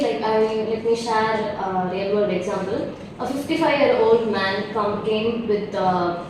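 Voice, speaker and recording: A young woman student, hesitantly presenting an example in a group discussion. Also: on the high side. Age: young adult. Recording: very echoey, distant mic, faint noise.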